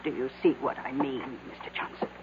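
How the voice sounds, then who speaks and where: A woman playing an uneasy, deferential secretary in a radio drama, speaking formally and haltingly. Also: extremely low pitch, fairly even intonation, background noise. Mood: anxious. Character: flustered, deferential female secretary, uneasy and hesitant. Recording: muffled, tinny old radio broadcast.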